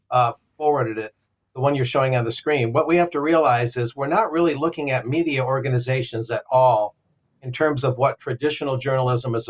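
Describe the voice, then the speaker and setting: Man in a dull, lo-fi remote feed. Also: mid-range voice. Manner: steady, argumentative, explanatory. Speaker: co-presenter. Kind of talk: discussion commentary.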